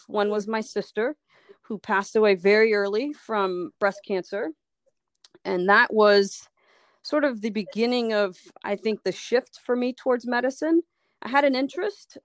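Middle-aged woman from Liberia, physician guest, smooth voice, dry close sound, reflective and halting. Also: a little high, no echo. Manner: thoughtful, pausing often and restarting phrases. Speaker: sports medicine physician, guest. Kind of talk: reflective personal story in an interview.

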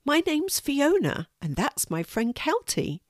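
A woman voicing a kind, helpful girl in a storybook, with a gentle, reassuring manner. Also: high-pitched, sing-song, slow pace, no room sound, clean studio sound, pitched above the performer's own voice, full character voice.

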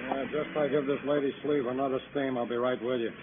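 A man's voice: mid-range voice, steady tone, quick pace, natural voice. A man playing a busy, folksy, blunt neighborhood tailor in a 1950s radio drama. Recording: tinny, very noisy old radio recording.

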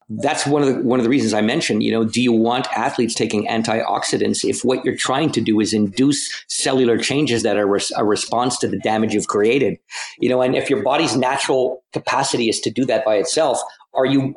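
A man in a podcast discussion about training science, talking haltingly with many restarts. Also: medium pitch. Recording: roomy echo.

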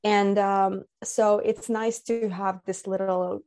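Young woman from finland chatting on a podcast, lots of ums and restarts, mid pitch. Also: little pitch movement, takes it slowly, a little mellow. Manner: chatty, thoughtful, many fillers and restarts. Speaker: podcast guest, entrepreneur. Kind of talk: casual podcast chat.

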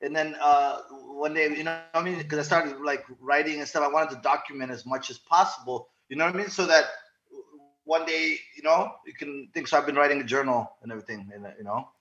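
A man in his forties with a bright, slightly high voice tells anecdotes as a podcast guest. He is animated and halting, repeating words and checking in with his listeners. The audio is slightly muffled. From born in Zaire, raised in the United States and Zimbabwe. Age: 40s. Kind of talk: casual anecdotal interview chat.

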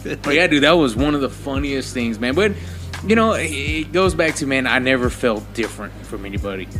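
Brief: Texas-raised man with Spanish as his first language, blunt podcast co-host, mid-pitched, music in the background. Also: animated, a little fast. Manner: blunt, profane, laughs often. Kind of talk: casual chat.

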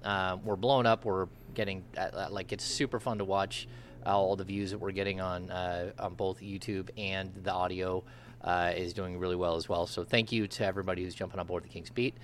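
A man's voice: deep voice, little pitch movement, a little fast, slight background noise. A man hosting a casual sports podcast, rambling with lots of fillers in a friendly way. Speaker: podcast host.

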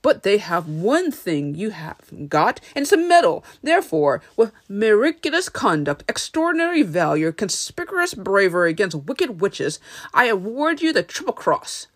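A woman's voice: medium pitch, sing-song, normal speed. A woman voicing a grandiose male wizard, a kindly humbug who declaims pompously in a storybook reading. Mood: ceremonious.

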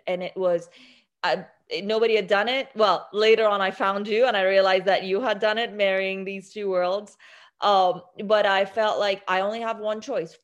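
A young woman from Iran, an interview guest, speaking earnestly with many restarts. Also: mid-range voice. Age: young adult. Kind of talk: interview conversation.

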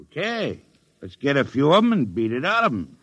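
A man's voice: mid-range voice, huge pitch swings, fairly quick, a bit bright, breathy edge, heavily acted. An actor playing a brash, pugnacious spaceship crewman in a mid-century radio drama, with slangy, blustering tough-guy talk. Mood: gruff, incredulous. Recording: noisy vintage radio recording.

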